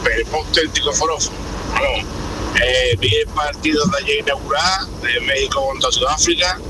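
An enthusiastic man calls in to a radio show, his voice pitched a little high. Clear road noise runs behind him throughout the call. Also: normal speed. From Paraguay. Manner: enthusiastic, emphatic. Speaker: caller.

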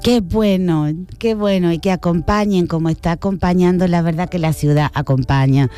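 A woman's voice: fairly low pitch, normal speed, mellow, smooth. A woman from Cádiz province with an Argentine-tinged Spanish accent, giving a radio interview as a festival director in an enthusiastic, chatty way. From Cádiz province, Spain. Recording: music playing behind.

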